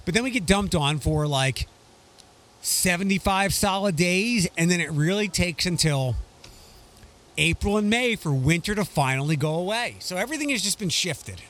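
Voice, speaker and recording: A man's voice: sits high, animated, normal speed, a bit bright. Dry-humored man in his forties. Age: 40s. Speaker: podcast co-host. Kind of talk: casual chat.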